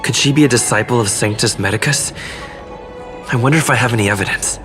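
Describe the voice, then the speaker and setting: A man voicing a composed, shrewd investigator in a video game, measured and musing as if to himself. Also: medium pitch, over music, close to an everyday voice. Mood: suspicious. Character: shrewd, composed male investigator piecing clues together.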